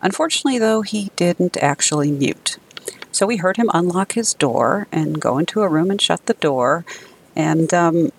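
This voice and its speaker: A woman's voice: on the low side, lively intonation, moderate pace, creaky, a little hiss or hum. A woman telling a casual personal anecdote as a guest contributor, conversational and amused. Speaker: guest contributor, technology services director.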